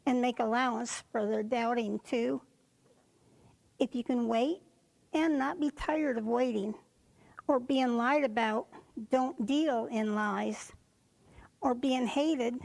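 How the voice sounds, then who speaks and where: A woman reciting a poem for a reader's theater in deliberate phrases set apart by pauses. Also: high-pitched, creaky, a little mellow, rough recording. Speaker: poetry reader.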